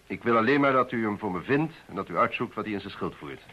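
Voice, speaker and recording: Radio drama role: formal, guarded politician, very low and forceful voice, curt and evasive. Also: male voice, expressive, fast, husky, full character voice. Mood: firm, evasive. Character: curt, secretive male politician under threat. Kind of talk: Dutch radio play acting. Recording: muffled, faintly noisy old radio recording.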